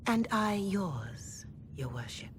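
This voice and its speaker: A woman playing a solemn, devout older cleric in a fantasy video game, speaking with formal, measured deference. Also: mid-range voice, very animated. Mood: measured, reserved. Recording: clear background ambient noise.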